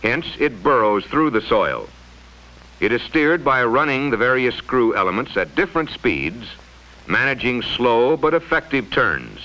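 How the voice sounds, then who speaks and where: Casting: male narrator for a mid-century science film, explanatory and formal, animated intonation, muffled lo-fi vintage sound. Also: medium pitch, a little slow.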